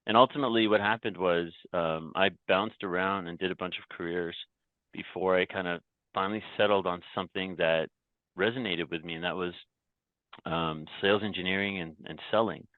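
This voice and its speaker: A man's voice: sits low, fairly even intonation, a little fast, no room sound. A man who grew up in Canada, a podcast guest and company founder telling his story reflectively, often restarting and repeating words. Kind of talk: casual interview talk. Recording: dry, tinny phone-like remote line.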